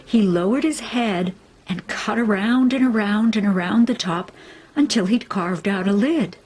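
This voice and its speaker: A woman reading a children's story aloud, expressively and deliberately, with dramatic emphasis. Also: medium pitch, darkish tone, breathy edge. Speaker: storybook reader. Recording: faint background noise.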